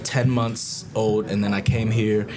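A man's voice: on the low side, little pitch movement, measured pace. A man in his twenties, a music artist from Tampa, talking casually and rambling with lots of "like" and "you know what I mean.". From Tampa, Florida; born in Vietnam. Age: 20s. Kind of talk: casual interview chat.

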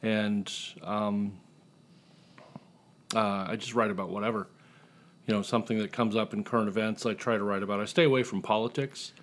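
A man's voice: fairly low pitch, steady tone, normal speed, pauses often. A middle-aged man with an American accent, talking casually as a guest columnist in an interview. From Indiana, United States.

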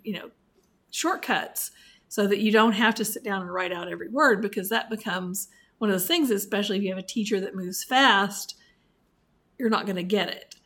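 A woman co-hosting a library podcast, telling stories in casual chat. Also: mid-range voice. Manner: storytelling, animated when recounting.

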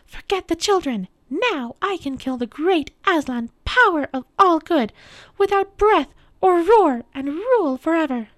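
A woman's voice: very high-pitched, animated, unhurried, a bit bright, no room sound, pitched above the performer's own voice, exaggerated voice. A woman voicing a cruel, vengeful witch queen in a storybook reading, commanding and screechy. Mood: gloating. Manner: commanding, gloating.

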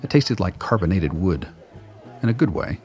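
A man's voice: deep voice, expressive, moderate pace, a little mellow, faint music behind. A man reading scripted third-person narration for a radio play.

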